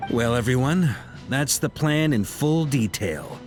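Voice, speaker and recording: A man acts a seasoned knight grand master in a video game. His delivery is animated, frank and commanding, yet reassuring. Music plays softly underneath. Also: mid-range voice, measured pace, lightly acted. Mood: confident. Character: seasoned, jovial male knight grand master leading a battle briefing. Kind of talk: English game dub acting. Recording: music behind the voice.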